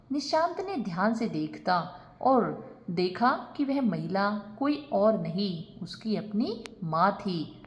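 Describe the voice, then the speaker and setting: Slow, expressive woman storyteller. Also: medium pitch. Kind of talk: scripted narration of a moral family story.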